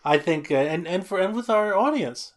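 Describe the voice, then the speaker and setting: A man co-hosting a podcast. He reads a listener letter aloud, then chats casually in a loud, jolly way, often stammering and restarting. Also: sits high, lively intonation, moderate pace. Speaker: podcast co-host.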